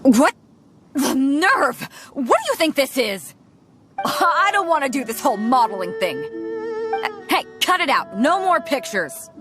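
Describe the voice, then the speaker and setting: A woman's voice: high-pitched, big pitch swings, unhurried, pauses often, pushed and bright, heavily acted. A woman voicing a bold, hearty female sea captain with a confident, teasing manner for a video game, recorded with music behind her. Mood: indignant. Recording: studio voice over background music.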